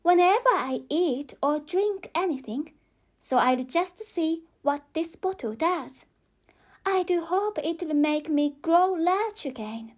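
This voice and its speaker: A woman's voice: high-pitched, darkish tone. A woman voicing a curious, polite little girl who muses anxiously to herself in a children's audiobook. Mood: hopeful. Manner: wondering, talking to herself.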